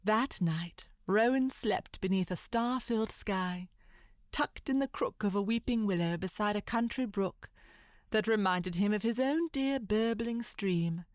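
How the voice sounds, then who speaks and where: A woman narrating a classic storybook tale in a measured, literary way. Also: mid-range voice, no room sound, clean studio sound. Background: music.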